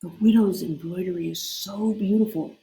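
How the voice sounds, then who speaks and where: A woman voicing a gentle, earnest young mermaid princess in a storybook tale, speaking politely. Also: lowish voice, normal speed, creaky, reverberant, poor audio. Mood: wistful. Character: gentle, lovely young mermaid princess, the Sea King's daughter.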